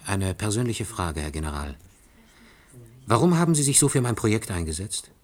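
An actor playing a wary, principled inventor and teacher in a German science-fiction radio drama, speaking in a measured, probing, skeptical way. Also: male voice, fairly low pitch, big pitch swings.

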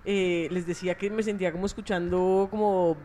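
A woman's voice: fairly low pitch, steady tone, fairly quick, dark tone, a little hiss or hum. A woman who grew up in Ecuador, interviewing a band in a chatty way, thinking aloud with many fillers. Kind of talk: casual interview.

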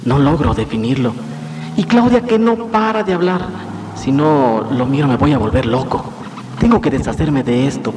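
An actor playing a nervous, guilty husband who mutters and exclaims in a radio drama. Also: male voice, mid-range voice, expressive, moderate pace, natural voice. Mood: anxious scheming. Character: nervous, guilty husband, secretly panicking while driving. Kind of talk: Spanish-language radio drama acting. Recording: noisy 1990s radio drama with car sounds.

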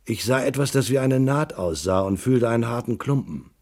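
A man's voice: mid-range voice, expressive, normal speed, darkish tone. A man playing a tough private detective who narrates a hard-boiled radio crime drama tersely and matter-of-factly. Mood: laconic. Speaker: first-person narrator.

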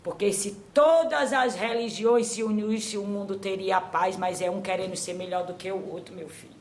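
A woman's voice: medium pitch, a little hiss or hum, lightly acted. Woman mediuming a grandmother spirit. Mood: counseling. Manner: slow, repeats "my son", trailing off softly. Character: old grandmother spirit, patient and preachy. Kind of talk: spiritual counseling.

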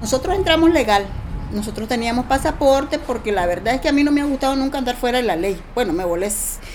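A woman's voice: a little high, lively intonation, fast, slight background noise. A middle-aged woman with a Nicaraguan accent sharing an informal personal testimony. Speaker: migrant interviewee. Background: music.

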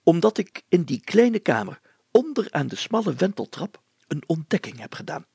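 Guy doing an eager secretive village mayor for an audiobook, very croaky, kinda high for a man, husky. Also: big pitch swings, normal speed, dark tone, dry recording, full character voice. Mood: excited, confiding. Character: excitable, secretive village mayor, keen storyteller. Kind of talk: acted audiobook dialogue.

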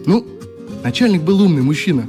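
An actor playing a friendly young youth leader in a radio drama, telling stories in a chatty, expressive way with a wistful streak. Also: male voice, on the high side, brisk, music in the background. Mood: animated reminiscing. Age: young adult. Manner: chatty, expressive, acts out remembered exchanges. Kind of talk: radio drama, reminiscing dialogue.

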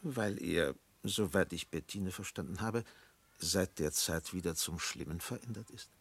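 Radio drama pastor, old, grave and probing: gravelly low voice, formal, measured delivery. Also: male voice, a bit bright, heavily acted. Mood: gravely concerned. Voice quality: gravelly, husky. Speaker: actor. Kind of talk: German radio play acting.

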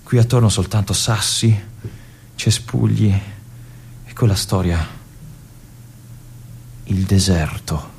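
An actor playing a restless young army lieutenant in a radio monologue, confiding and brooding in halting asides. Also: male voice, on the low side, vocal fry, a little mellow, slight background noise. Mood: uneasy. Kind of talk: theatrical monologue.

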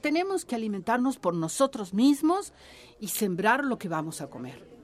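An actress playing an earnest, resolute villager making a persuasive case in a radio drama. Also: female voice, on the high side, big pitch swings, normal speed. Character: earnest, resolute villager urging self-sufficiency.

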